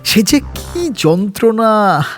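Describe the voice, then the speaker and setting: A man voicing a pious, talkative beggar fakir in a storybook, telling things in a drawn-out, exclamatory way. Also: sits high, big pitch swings, music in the background, voice pushed up, full character voice. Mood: pained, plaintive. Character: wandering male beggar fakir, garrulous, dramatic, pious. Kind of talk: storybook character voice.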